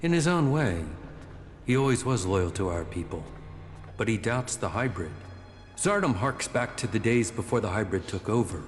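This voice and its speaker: Casting: man playing measured, authoritative game spymaster, calm, lowish voice in a big reverberant space, faint ambience. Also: faint music behind, natural voice. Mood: calculating, measured. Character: calm, calculating alien-faction briefer giving covert orders. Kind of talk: video game cutscene acting. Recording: long hall reverb, faint ambient noise.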